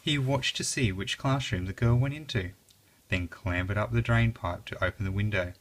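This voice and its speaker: A reader narrating a children's story aloud in an expressive, dramatic way. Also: mid-range voice, moderate pace, slight background noise.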